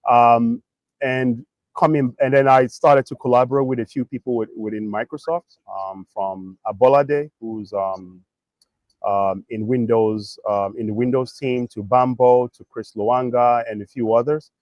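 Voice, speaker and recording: A middle-aged man from Nigeria talks conversationally as an interview guest. His voice sits at an average pitch in a dry recording. He is reflective, often pausing or restarting partway through a phrase. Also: steady tone, a little mellow, no echo. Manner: halting, thoughtful, pausing mid-phrase. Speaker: interview guest, tech executive.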